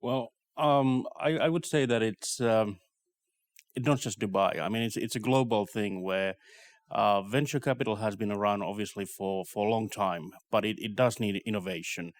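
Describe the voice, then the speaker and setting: Low-pitched Finnish man explaining business. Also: moderate pace, no room sound. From Finland. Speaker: investment company representative, interviewee. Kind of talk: interview answers on business.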